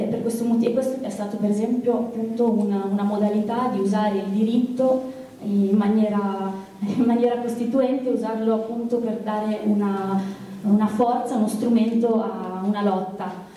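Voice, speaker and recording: Echoey, distant woman with very mellow voice. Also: medium pitch. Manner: halting, repeats words while searching for phrasing. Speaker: activist speaker at a press conference. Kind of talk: political public speech, unscripted. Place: large indoor theatre. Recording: very echoey hall, distant microphone.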